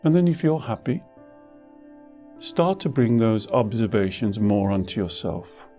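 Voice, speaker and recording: Man, low relaxed voice, very soft-edged tone, guided meditation, short paused phrases, reassuring teacher. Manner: unhurried, reassuring, pausing between short phrases. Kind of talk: guided meditation, gentle spoken instruction. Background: soft instrumental music.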